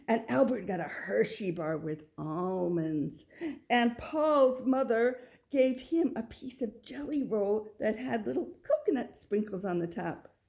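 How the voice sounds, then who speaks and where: Woman, children's book reader, slow and expressive, lightly higher voice, asides to listener. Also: vocal fry. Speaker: storybook reader. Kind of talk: children's picture book read-aloud.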